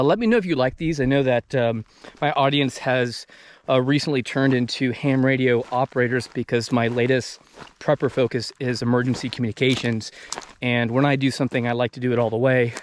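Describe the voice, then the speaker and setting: An American man in his thirties talks to a handheld camera out in open country. His pitch is average and his delivery halting, as he thinks aloud on the move. Faint outdoor noise sits behind him. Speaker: vlogger. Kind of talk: casual talk to camera while hiking. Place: outdoors in open desert backcountry.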